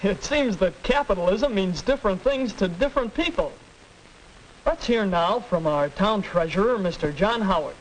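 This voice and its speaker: An American man playing a formal young high school forum moderator, announcing in measured 1940s radio style. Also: animated, rough recording. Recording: hissy old film soundtrack.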